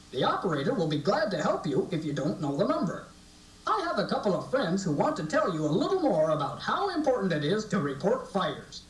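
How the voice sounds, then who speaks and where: An earnest, friendly puppet voiced by a performer speaks to children with hugely animated intonation. The scripted delivery is deliberate and instructive, heard from off in an echoey space on old tape. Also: medium pitch. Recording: echoey, distant sound on VHS tape.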